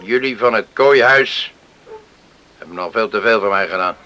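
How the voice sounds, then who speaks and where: An actor playing a proud, pious, stubborn farmer in a radio play, curt and grudging. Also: male voice, fairly low pitch, moderate pace, sharp tone. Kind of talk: Dutch radio play acting.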